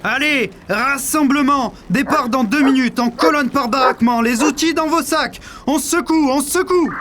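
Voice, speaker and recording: High, barking army guard. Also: male voice, normal speed, background noise. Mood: barking, impatient. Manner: shouted, clipped orders. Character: barking, impatient male army guard commanding prisoners. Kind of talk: audio drama acting.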